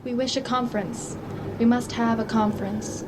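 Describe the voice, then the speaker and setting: A woman's voice: a little high, fairly even intonation, moderate pace, natural voice. A woman playing an intense, eerie teenage girl who commands spirits in an audio horror drama. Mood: solemn, commanding. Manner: incantatory, commanding. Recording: clearly noisy background.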